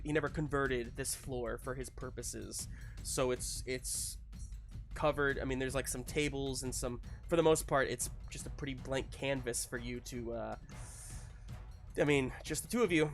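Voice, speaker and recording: A man speaking as game master, narrating a live tabletop game casually in a hesitant, improvised way. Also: medium pitch.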